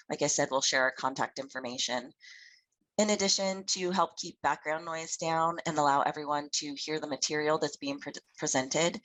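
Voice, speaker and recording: A woman who is sick and losing her voice, leading a virtual public meeting with careful, deliberate speech and frequent ums. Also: deep voice, a bit bright, poor audio. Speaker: meeting facilitator. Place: virtual meeting, home or office. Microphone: video call.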